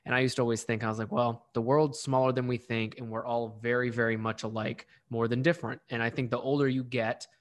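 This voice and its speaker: A podcast host in his late twenties, a man who speaks in a friendly, reflective way. His voice sits at an ordinary middle pitch, and his tone stays casual and conversational. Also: level tone, quick pace, hardly any pauses. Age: 20s. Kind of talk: conversational interview.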